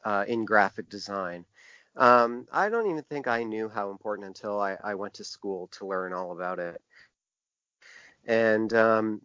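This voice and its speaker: A man giving thoughtful, halting answers as an interview guest, often repeating words as he thinks. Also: medium pitch, a bit bright, dry recording. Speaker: interview guest, publisher and graphic designer. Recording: dull, lo-fi, dry sound.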